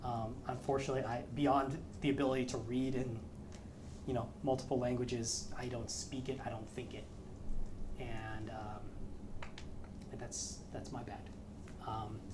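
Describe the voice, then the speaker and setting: A man's voice: mid-range voice, level tone, unhurried, pauses often. Midwest guy in his 30s fielding questions after a talk, lots of restarts, noisy room recording. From American Midwest. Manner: thoughtful, hesitant, many restarts. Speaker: lecturer answering questions. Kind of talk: academic Q&A talk. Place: lecture room, standing.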